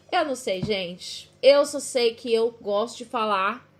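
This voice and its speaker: A woman's voice: on the high side, slow. A Brazilian woman with a Brazilian accent, chatting casually in a rambling devotional vlog, correcting herself and laughing at herself. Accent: Brazilian Portuguese.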